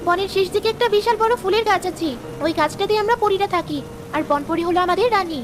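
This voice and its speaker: A woman voicing a tiny, gentle flower fairy in a children's cartoon, sweet and appealing. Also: very high-pitched, fast, background noise. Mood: gentle, sympathetic. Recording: music under the voice.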